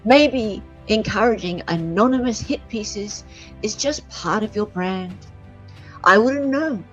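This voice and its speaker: A woman's voice: medium pitch, expressive, takes it slowly. An Australian woman hosting a casual livestream, doing dramatic readings and commentary in a sarcastic, bantering way. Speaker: livestream host. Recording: dull lo-fi audio. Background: music.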